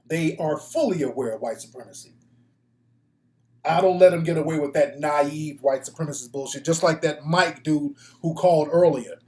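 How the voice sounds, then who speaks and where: Male American talk host, slightly high voice, blunt and profane, off-the-cuff monologue, roomy echo. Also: normal speed. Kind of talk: casual opinionated live talk show monologue. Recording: echoey room.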